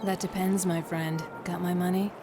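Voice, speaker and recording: A woman playing a sly, mercenary pirate captain in a video game, wry and teasing, with a gravelly voice. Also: fairly low pitch, level tone, normal speed, background noise, lightly acted. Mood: sly, amused. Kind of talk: dramatic video game cutscene acting. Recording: music behind.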